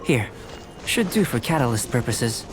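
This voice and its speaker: A man voicing a calm, polite young alchemist in a video game, with a measured, formal, scholarly delivery. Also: medium pitch, heavy background noise, natural voice. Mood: matter-of-fact. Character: calm, polite young male alchemist researcher, methodical and detached. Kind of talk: scripted video game character dialogue.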